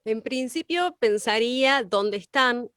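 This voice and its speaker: A woman talks through ideas as a co-teacher. Her voice is fairly high and sounds close and dry, without room echo. She reasons aloud and poses questions as she goes. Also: animated, slow, fairly bright tone. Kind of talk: explanatory class discussion.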